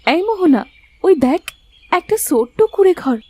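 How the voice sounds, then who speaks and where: A woman voicing a gentle, protective elder sister in a cartoon, soothing and caring in manner. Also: very high-pitched, lively intonation, moderate pace, no echo. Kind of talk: Bengali cartoon voice acting.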